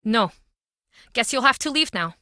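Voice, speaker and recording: Guarded, bright-voiced woman pub owner. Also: a little high, animated, unhurried, dry recording, clean studio sound. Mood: curt, dismissive. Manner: curt, brooding, dramatic. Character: guarded, bitter female pub owner in a small town. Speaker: game character. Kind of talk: video game voice acting.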